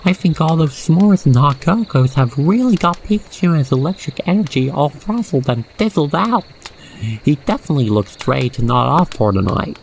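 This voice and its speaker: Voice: mid-range voice, sing-song, moderate pace, vocal fry, poor audio. A storyteller narrates an ASMR roleplay directly to the listener with playful, wordy storybook phrasing. The recording has faint noise with crickets and insects behind the voice. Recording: faint background noise, cricket night ambience.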